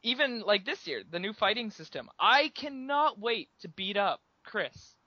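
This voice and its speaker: Bright youthful-sounding podcast man. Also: high voice, big pitch swings, measured pace. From Canada. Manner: youthful-sounding, upbeat and chatty. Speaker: podcast co-host. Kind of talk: casual gaming chat. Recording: dull lo-fi podcast audio.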